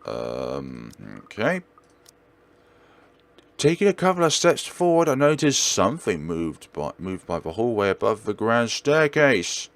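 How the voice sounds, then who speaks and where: A man with a low voice reads a horror visual novel aloud as a let's play host. His delivery is theatrical, with lively pitch movement. He now and then trips on a word and reads it again. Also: measured pace, dry recording. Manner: theatrical, stumbles and rereads words. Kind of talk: dramatic read-aloud narration of a visual novel.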